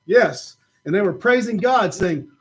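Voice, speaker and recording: High-voiced man telling a Bible story. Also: animated, measured pace. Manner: patient, gently explaining. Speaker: puppet show presenter. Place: church.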